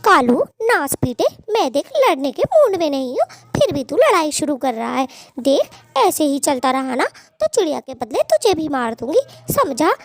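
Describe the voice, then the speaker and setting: Woman voicing a high-pitched scolding cartoon bird wife. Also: big pitch swings, moderate pace, few pauses, no room sound. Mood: angry, threatening. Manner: scolding, threatening, dramatic. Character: scheming, quick-tempered female bird wife, spiteful and sharp-tongued. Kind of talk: Hindi cartoon story dialogue.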